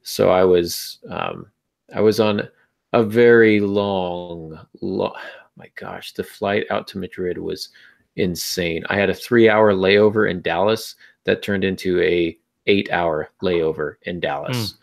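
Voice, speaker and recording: A man's voice: sits low, steady tone, slow, creaky. A man hosting a podcast in casual chat, with a wry, anecdotal way of talking. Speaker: podcast host.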